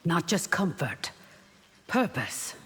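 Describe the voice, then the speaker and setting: An actress playing a proud, loyal and devout royal bodyguard in a video game, composed, formal and stern. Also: female voice, on the low side, very animated, takes it slowly. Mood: composed, firm. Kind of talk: video game character acting. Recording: long reverb with faint noise.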